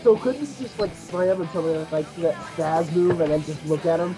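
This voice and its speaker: Gaming commentator, mid-pitched voice, informal, chatty interjections, clearly audible game music. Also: fairly quick. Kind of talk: casual group playthrough commentary.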